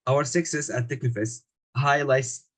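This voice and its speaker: A young man from Syria, just graduated in engineering, presents his team's project over a video call. He reads a prepared pitch at a steady pace, with a few grammar slips along the way. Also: measured pace. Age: young adult.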